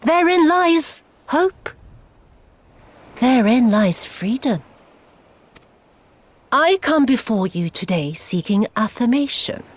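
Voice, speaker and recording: Game villainess: high, swooping voice, imperious and mocking, cruel imperial ruler. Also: female voice, big pitch swings, takes it slowly, pauses often, heavily acted. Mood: grand, taunting. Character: cruel, haughty, taunting female imperial viceroy. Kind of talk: dramatic video game cutscene acting.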